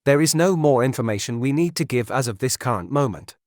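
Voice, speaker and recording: A man playing a grave emergency broadcast announcer, reading a scripted bulletin in a formal, measured way. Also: medium pitch, expressive, darkish tone, no room sound, clean studio sound, close to an everyday voice.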